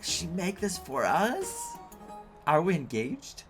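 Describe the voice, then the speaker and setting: Unhurried woman doing wry game commentary. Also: lowish voice, sing-song. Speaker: gaming streamer. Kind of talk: casual playthrough commentary. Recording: faint game music behind voice.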